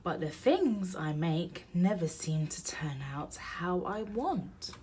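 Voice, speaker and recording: A woman with a slightly low voice reads a children's picture book aloud. She takes her time over each phrase, and her pitch rises and falls in an animated, storytelling way. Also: takes it slowly, slight background noise. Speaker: storybook reader.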